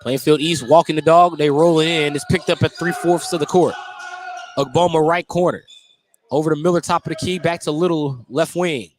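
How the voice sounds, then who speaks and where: A man's voice: a little high, fairly even intonation, brisk, noisy background. An American man doing live play-by-play basketball commentary on the radio.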